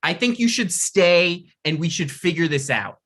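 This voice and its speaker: A man's voice: on the high side, animated, normal speed, fairly bright tone, slightly rough. A man reading the part of a struggling thirty-six-year-old TV writer at a table read, pleading and awkward.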